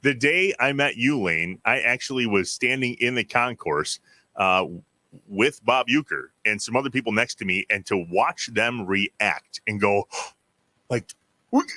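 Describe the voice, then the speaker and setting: Male American host, medium pitch, bright and punchy, dry recording, informal sports interview. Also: animated, a little slow, no echo. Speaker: podcast host. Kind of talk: casual interviewing and chat.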